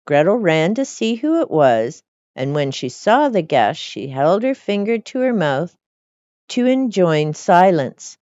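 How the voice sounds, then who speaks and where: Female storyteller, low register, animated storybook delivery, dry lo-fi sound. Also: a little slow, dry recording. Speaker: storyteller reading fairy tales. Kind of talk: expressive storybook reading with casual asides.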